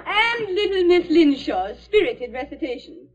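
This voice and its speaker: A woman's voice: extremely high pitch, sing-song, a little slow, background noise, full character voice. An actress playing a genteel aristocratic lady making a formal, gushing public address, in 1940s radio drama style. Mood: gracious, formal. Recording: dull vintage 1940s radio.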